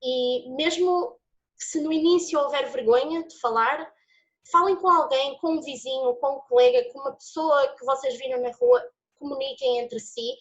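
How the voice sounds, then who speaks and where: A woman in her twenties shares personal experience and advice in a Portuguese accent. Her voice sits on the high side and she speaks expressively, with emphasis. The audio is a little dull and lo-fi. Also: animated, normal speed. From born in São Paulo, Brazil, living in Portugal since age nine. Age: 20s. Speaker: student speaker giving a testimonial. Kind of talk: personal testimony with encouraging advice.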